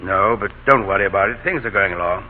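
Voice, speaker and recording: An actor playing a weary soldier father, gentle and reassuring yet pressed for time, in a vintage radio drama. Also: low voice, fast talker, natural voice. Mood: tender. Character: tired, tender Confederate officer and reassuring father. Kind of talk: 1930s-40s radio drama acting. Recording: tinny, faintly noisy old radio broadcast.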